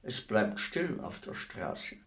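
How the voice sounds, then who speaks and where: An actor playing a calm, implacable executioner in a radio play, speaking in a measured, politely formal, unperturbed manner. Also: male voice, on the low side, heavily acted. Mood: matter-of-fact. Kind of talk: theatrical radio play acting.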